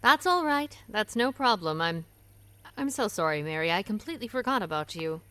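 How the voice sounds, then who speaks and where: An actress playing a sharp, wry saloon owner who talks with dry, teasing wit. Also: female voice, mid-range voice, expressive, moderate pace, clean tone, no room sound. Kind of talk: audio drama acting.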